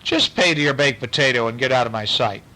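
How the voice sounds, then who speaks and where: Man putting on a pompous old English gentleman. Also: mid-range voice, quick pace, close to an everyday voice, rough recording. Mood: irritable, dismissive. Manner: pompous, clipped exclamations, upper-class old-fashioned phrasing.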